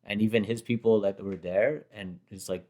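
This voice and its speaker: A hoarse man chatting casually, rambling and correcting himself as he recalls a performance. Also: on the low side, steady tone, normal speed, mellow. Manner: rambling, self-correcting storyteller. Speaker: rapper reacting to his own performance. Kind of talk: casual chat.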